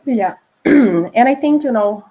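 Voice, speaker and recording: A woman from Brazil with a Brazilian Portuguese accent, a food scientist guest explaining regulations in a clear, patient way. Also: a little high, lively intonation, slow pace, mellow. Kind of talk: explanatory conversation.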